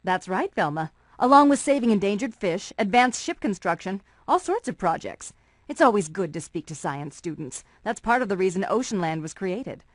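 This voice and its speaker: An actress playing an earnest, polite female scientist in a cartoon game, with a measured, formal way of speaking. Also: mid-range voice, lively intonation, no room sound, lightly acted. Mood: friendly.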